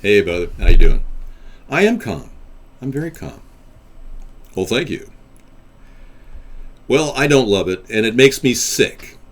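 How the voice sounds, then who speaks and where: A man's voice: lowish voice, big pitch swings, unhurried, pauses often. A man chatting on a call-in show as co-host, mocking a caller.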